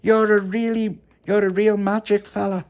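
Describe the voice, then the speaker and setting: A man's voice: high voice, level tone, moderate pace, pitched above the performer's own voice. A man voicing an inquisitive, admiring young girl in an oral story.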